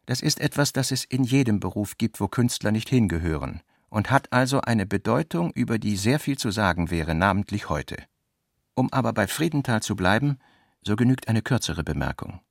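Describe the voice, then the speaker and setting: A man's voice: fairly low pitch, gravelly, no echo, clean studio sound. A man reading scripted literary narration for a radio play as its narrator.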